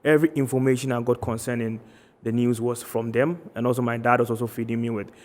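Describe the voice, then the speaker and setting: A man's voice: medium pitch, level tone, fast, a little mellow, long reverb tail. A man in his twenties with a Ghanaian accent, giving somewhat hesitant answers as an interview guest. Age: 20s. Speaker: interview guest, medical student leader. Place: TV studio.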